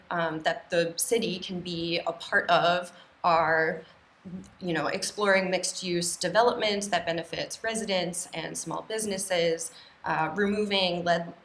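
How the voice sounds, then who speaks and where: Lowish-voiced American woman at a forum. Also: fairly bright tone, a little hiss or hum. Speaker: city council candidate. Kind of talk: candidate forum answer. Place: local TV studio. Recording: roomy studio sound.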